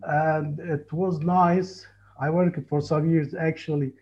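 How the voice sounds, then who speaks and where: A man in his forties from Egypt with an Egyptian accent, telling his life story in a halting, earnest way over a call. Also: a little high, little pitch movement, darkish tone. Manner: halting, earnest, frequent restarts. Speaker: tour guide and Egyptologist. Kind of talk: casual interview on a call. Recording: muffled lo-fi call audio.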